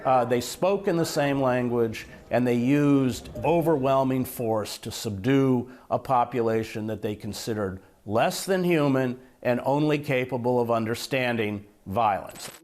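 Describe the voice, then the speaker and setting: A man's voice: mid-range voice, normal speed, few pauses, a little mellow, a little hiss or hum. A man, a former foreign correspondent, speaking bluntly and indignantly in an interview.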